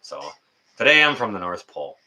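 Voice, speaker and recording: A man in his fifties hosting a casual livestream chat, talking in a rambling, folksy, halting way. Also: fairly low pitch, sing-song, pushed and bright, low-quality recording. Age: 50s. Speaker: livestream host.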